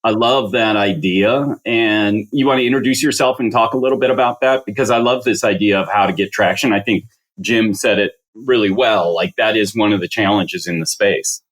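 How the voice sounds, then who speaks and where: A man with a slightly low voice hosts a podcast conversation. He speaks reflectively and sounds keen when he passes the floor to his guests. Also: normal speed, low-quality recording. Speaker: podcast host. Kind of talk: hosting a panel conversation.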